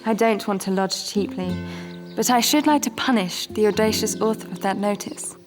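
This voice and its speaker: A woman acting a young period-drama temptress with a medium-pitched voice. She is poised and provocative, deliberately teasing with cool cruelty, and music plays clearly underneath. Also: natural voice.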